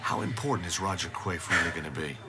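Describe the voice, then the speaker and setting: An actor playing a frank, matter-of-fact nuclear weapons expert in a radio drama. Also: male voice, deep voice, fast talker, a loud crowd, natural voice. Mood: wry. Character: blunt, worldly American nuclear weapons expert.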